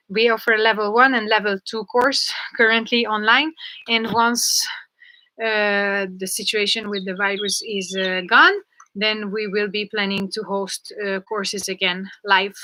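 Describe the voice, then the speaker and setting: A woman's voice: mid-range voice, steady tone, measured pace, sharp tone, poor audio. A woman with a Hungarian accent, a jump rope instructor leading a live online class, upbeat and coaching, laughing often over a video call.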